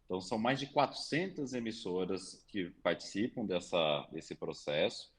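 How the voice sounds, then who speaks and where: A man with a low, slightly husky voice reports the news at an even, measured pace. He sounds like a regular commentator running through a news item.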